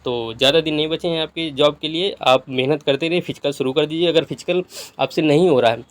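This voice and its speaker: A man's voice: a little high, quick pace. A man giving a casual, instructive explainer to online viewers, often checking that they follow with short tag questions. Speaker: YouTube exam-guidance creator.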